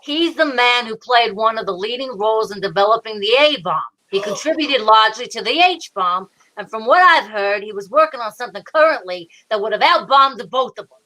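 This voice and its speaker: A woman's voice: a little high, lively intonation, fairly quick, few pauses, sharp tone, low-quality recording. A woman playing a world-weary, wisecracking male homicide inspector in an old-time radio detective drama style. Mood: urgent, exasperated. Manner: sardonic, hard-boiled patter. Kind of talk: 1940s radio detective acting.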